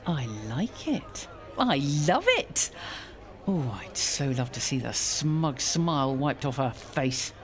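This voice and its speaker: A woman's voice: extremely low pitch, sing-song, slow, a faint crowd, full character voice. A woman acting an exasperated, wry village vicar in a radio soap, with a dramatic, sardonic delivery. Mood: gleefully determined. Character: exasperated, wry female village vicar venting about a rival.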